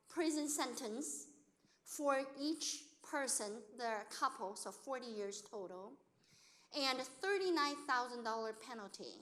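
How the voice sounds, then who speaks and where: High-voiced Chinese-native woman telling stories. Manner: lively storyteller who acts out quoted speech, in non-native grammar. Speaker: accountant and business owner speaking to an audience. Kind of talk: personal storytelling talk.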